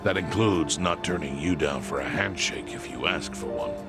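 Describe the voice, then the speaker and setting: A low, gravelly older chairman in a video game dub. He talks calmly and deliberately, a dry schemer explaining his plan, with loud music underneath. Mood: calm persuasion.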